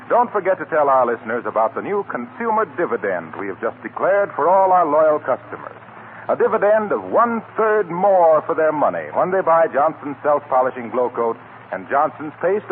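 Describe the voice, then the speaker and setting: An American man announces a sponsor message in 1940s radio style. His voice is fairly high and very animated, with polished emphasis. It comes through a narrow band with faint noise. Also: moderate pace. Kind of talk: 1940s radio advertisement reading. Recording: tinny 1940 radio broadcast, faint noise.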